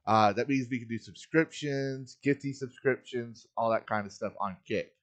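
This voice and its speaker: A man's voice: mid-range voice, normal speed. A man hosting a live game stream, talking earnestly and casually while greeting his chat. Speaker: livestream host.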